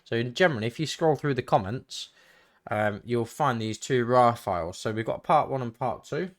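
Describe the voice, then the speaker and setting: A man giving a casual, hesitant software walkthrough, thinking aloud as he goes. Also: mid-range voice, animated, normal speed. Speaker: tutorial host. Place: indoors, voice-over for screen recording.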